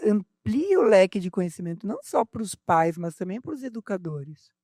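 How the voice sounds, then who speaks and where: A man's voice: high-pitched, very animated, moderate pace, warm tone, dry recording, studio quality. A man, co-hosting a podcast in casual conversation, hesitant, with many fillers and restarts. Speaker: podcast co-host.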